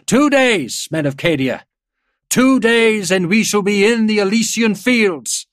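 A proud older general, acted by a male narrator, projects as if across a parade ground. His voice is raised well up in pitch and delivered as rousing, declamatory oratory in the manner of a war audiobook. The sound is close and dry. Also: lively intonation, measured pace, a bit bright, no echo. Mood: rallying shout. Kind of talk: dramatised audiobook character voice.

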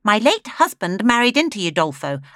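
A woman voicing a sharp-tongued, imperious middle-aged heiress who snaps at her family. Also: mid-range voice, very animated, normal speed, no echo, studio quality, voice pushed up. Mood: snappish. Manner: snapping, imperious.

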